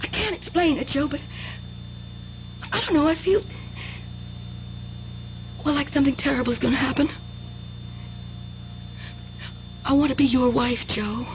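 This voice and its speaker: An actress playing a young bride-to-be who works as a psychic stage assistant, with theatrical delivery in a vintage radio drama. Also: female voice, sits high, moderate pace, pauses often, slight background noise, natural voice. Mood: anxious, pleading. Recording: dull, band-limited vintage radio recording.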